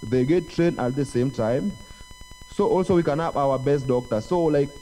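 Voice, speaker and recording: A young man addresses a youth parliament with an earnest, formal speech, his voice mellow and dark. He is heard from some distance off the microphone in a debate hall, and fillers creep in between his points. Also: fairly high pitch, normal speed, soft background music. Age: young adult. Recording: voice from far off a mic.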